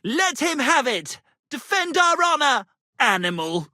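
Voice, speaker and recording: An actor playing a pompous, flamboyant medieval poet and tavern musician in a video game, speaking in a florid, theatrical manner. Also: male voice, extremely high pitch, very animated, measured pace, bright, forceful tone, dry recording, clean studio sound, exaggerated voice. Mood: riled, shouting. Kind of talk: theatrical game character dialogue.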